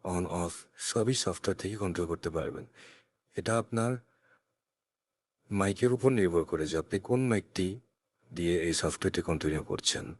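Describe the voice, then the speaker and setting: Deep-voiced man giving a software tutorial. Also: moderate pace, long pauses, slightly rough, no room sound, studio quality. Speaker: tech tutorial presenter. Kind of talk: step-by-step software tutorial narration. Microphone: close USB condenser microphone.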